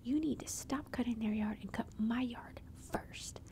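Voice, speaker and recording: A woman's voice: on the high side, unhurried, warm tone. A woman voicing an angry neighbor woman scolding someone, all in a whisper.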